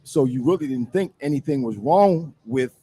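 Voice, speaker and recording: Older male ex-athlete panelist, dark husky voice, medium pitch, conversational. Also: expressive, moderate pace. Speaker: former player, show panelist. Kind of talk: casual sports talk.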